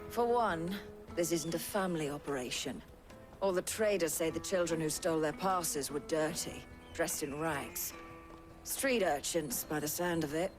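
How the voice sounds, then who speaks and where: Actress as female game fishmonger, brisk, plain-spoken, lowish pitch, soft background music. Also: lightly acted. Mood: frank, resigned. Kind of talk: dramatic video game acting.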